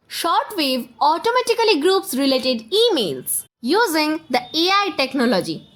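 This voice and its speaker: High-pitched, lively woman tutorial presenter. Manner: instructional, step-by-step, addresses viewer directly. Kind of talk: scripted software tutorial narration.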